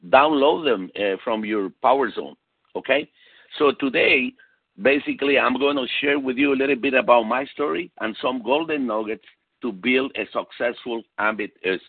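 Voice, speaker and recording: A man's voice: mid-range voice, breathy edge, no room sound. A man with a Latin American Spanish accent giving an emphatic motivational business talk over a conference call, repeating key phrases for weight. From South America and Puerto Rico. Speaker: motivational sales speaker, executive consultant. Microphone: phone conference call. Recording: tinny narrow phone-line sound.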